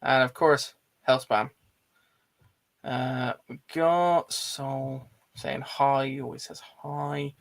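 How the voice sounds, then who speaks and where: Man, creaky voice, casual livestream host, blokey and informal. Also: mid-range voice, level tone, takes it slowly, fairly bright tone. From Bristol, England. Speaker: host of a film discussion livestream. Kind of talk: casual chat, reading out live comments.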